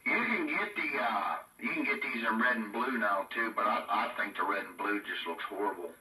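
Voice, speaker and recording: A folksy man chats casually as a radio hobbyist. His voice is very bright and forceful, drawling along in loose colloquial phrases. It comes with a strong echo, a little away from the microphone, with faint music behind. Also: fairly low pitch, expressive, moderate pace, far from the mic. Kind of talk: casual chat about radio gear. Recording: echo-effected, roomy sound from off mic.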